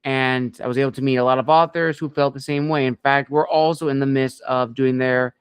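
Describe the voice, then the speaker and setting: A man hosting an interview podcast, asking long questions in an earnest, measured and somewhat formal way. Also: medium pitch, fairly even intonation, clean tone, no echo, studio quality. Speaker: podcast host, interviewer and author.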